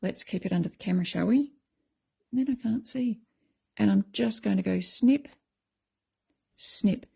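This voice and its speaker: Croaky woman demonstrating a craft. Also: mid-range voice, a little slow, pauses often, warm tone. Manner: chatty, self-deprecating, explaining step by step. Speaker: craft tutorial host.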